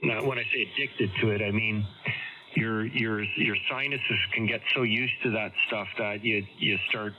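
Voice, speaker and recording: A man's voice: lowish voice, steady tone, moderate pace, dull audio. Congested man on noisy ham radio. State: congested with a head cold. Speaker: amateur radio operator on a group call. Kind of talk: casual chat over ham radio.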